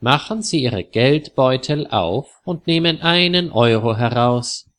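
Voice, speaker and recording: A man reading the part of a loud, joking street musician from a learner's storybook, talking slow and playful. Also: medium pitch, lively intonation.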